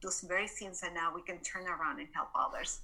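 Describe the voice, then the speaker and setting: A bright, clear-toned woman. She is a podcast guest recounting her life in an earnest, reflective manner, often restarting her phrases as she thinks. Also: lowish voice, fairly quick. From Venezuela. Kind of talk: personal storytelling in an interview.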